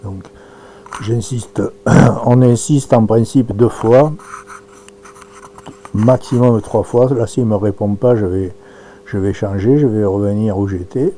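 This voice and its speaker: A man giving an informal live demonstration, talking haltingly as he thinks aloud through each step. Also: on the low side, dark tone, slight background noise. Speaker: presenter demonstrating amateur radio software.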